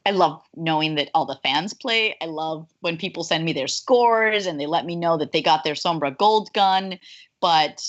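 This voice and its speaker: A young woman from Colombia chats with animated, bubbly energy and plenty of fillers. Her voice sits a bit low, and the call audio sounds dull and lo-fi. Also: normal speed. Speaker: guest voice actor. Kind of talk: casual interview chat.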